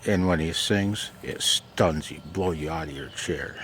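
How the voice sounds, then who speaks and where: Low gravelly guy rambling casually, kinda self-deprecating, outside with some background noise. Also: a little slow, a bit bright. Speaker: amateur radio vlogger. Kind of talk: casual chat. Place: outdoors in a backyard garden and deck.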